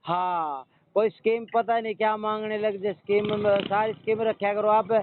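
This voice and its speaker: Haryanvi guy calling a helpline, high pitched voice, rustic and blunt, noisy phone audio. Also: fairly even intonation, moderate pace. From Haryana, India. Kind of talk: joking phone call. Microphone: phone line. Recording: noisy, tinny phone call recording.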